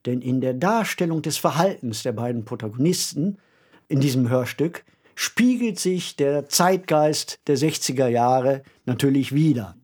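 A man's voice: medium pitch, darkish tone, breathy edge. A man co-hosting a conversational discussion, speaking in an emphatic, reflective storytelling way. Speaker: podcast co-host and author. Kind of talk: conversational discussion of a radio play.

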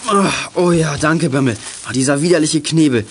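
A young-sounding man playing a wry, plucky teenage detective with a husky voice in a children's radio play. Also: a little high, normal speed, slight background noise, close to an everyday voice. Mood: relieved.

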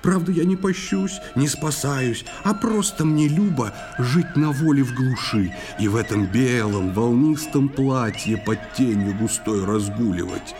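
A man's voice: mid-range voice, unhurried, few pauses, over music, lightly acted. A man playing a whimsical, restless water spirit posing as a monk in a poetic audio play for children. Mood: sly, carefree. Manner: theatrical verse recitation.

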